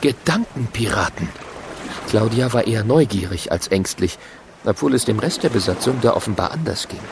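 A man's voice: lowish voice, expressive, moderate pace, noisy background. A man narrating a children's radio play in a vivid storytelling manner. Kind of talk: scripted audio drama narration.

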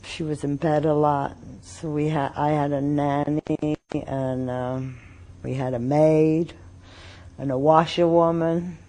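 An older woman from Austria recalls her past for an oral history interview. She talks slowly and haltingly, with frequent pauses and fillers. Her voice is warm and mellow. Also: low voice, flat delivery.

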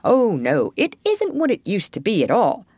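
Storybook water rat , female reader: genial riverside gentleman, talkative and emphatic, very lively pitch movement. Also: normal speed, husky, no echo, studio quality. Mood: forbearing, grumbling. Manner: emphatic, chatty, opinionated. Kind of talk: storybook character reading.

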